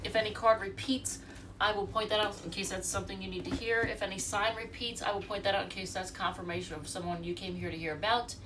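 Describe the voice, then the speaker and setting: A woman's voice: mid-range voice, fairly even intonation, fast talker, recorded from a distance, background noise. A congested woman from the United States doing a casual, chatty tarot reading and talking straight to her viewers. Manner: chatty, rambling, talks to viewers directly. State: congested from allergies. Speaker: tarot reader.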